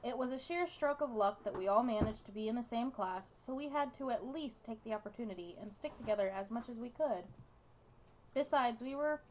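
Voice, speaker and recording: A woman with a fairly high voice reads visual novel narration aloud in a casual way. She occasionally trips over the written words. There is noticeable noise in the recording. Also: fairly quick, darkish tone.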